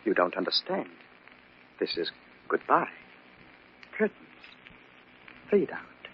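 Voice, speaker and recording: Murderous bitter husband, swooping intonation, theatrical menace, vintage radio play, dull recording. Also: male voice, lowish voice, wildly swooping pitch, slow, breathy edge, exaggerated voice. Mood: menacing, taunting. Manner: theatrical, taunting, swinging from quiet menace to outbursts. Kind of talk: old-time radio drama acting. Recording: muffled, noisy old radio recording.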